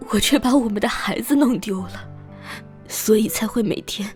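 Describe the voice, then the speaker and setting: A woman voicing a timid, sorrowful ghostly mother in an animated drama, with a small, breathy voice. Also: mid-range voice, measured pace, soft background music, natural voice. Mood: wistful. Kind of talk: Chinese animated drama voice acting.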